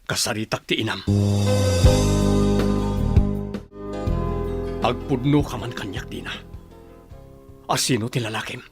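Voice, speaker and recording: A man's voice: mid-range voice, moderate pace, over loud music, natural voice. A man acting a betrayed husband and loving father in a radio drama, earnest and emotional. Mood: shocked, hurt.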